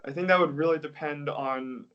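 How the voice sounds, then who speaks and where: A young man, an English teacher, answering interview questions in a relaxed, casual way, hedging often with "like" and "I guess.". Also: on the high side, moderate pace, smooth, muffled recording. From United States.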